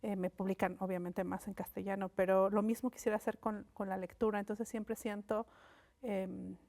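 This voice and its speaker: A woman whose first language is Mixe, a linguist and writer from Mexico, speaking as a guest in a thoughtful, unhurried interview on a studio set, with frequent fillers and restarts. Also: mid-range voice, little pitch movement, gravelly, a little mellow. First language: Mixe (Ayuujk).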